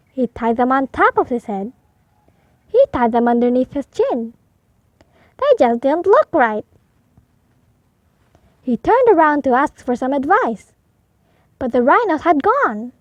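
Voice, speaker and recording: High, lively woman reading a storybook. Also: no echo, dull audio. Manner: expressive, deliberate. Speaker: storybook reader. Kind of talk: read-aloud narration for young children.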